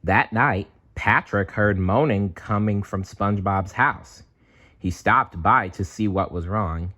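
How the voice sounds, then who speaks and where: A man reading a children's storybook aloud in an expressive, playful way, with chatty asides. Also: fairly low pitch. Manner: animated, unhurried, playful.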